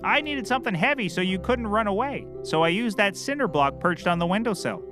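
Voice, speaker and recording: A man playing a bumbling, oblivious, excitable private detective in a scripted audio comedy, melodramatic and cheerfully clueless. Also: sits high, a little fast, over music.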